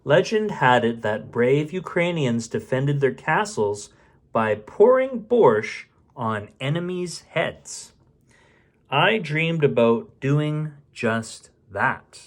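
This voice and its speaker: Animated, unhurried man reading a bedtime story. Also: mid-range voice. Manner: expressive, unhurried, mutters asides. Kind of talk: animated storybook read-aloud with casual asides.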